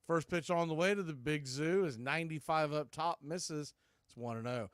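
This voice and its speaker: A man's voice: fairly high pitch, normal speed, fairly bright tone, clear, resonant tone, dry recording, clean studio sound. A man doing live radio baseball commentary in clipped, conversational phrases, hosting the play-by-play.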